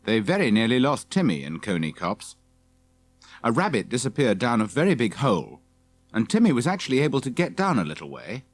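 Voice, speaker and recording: A man's voice: mid-range voice, wildly swooping pitch, brisk. A man reading scripted narration for a children's audiobook.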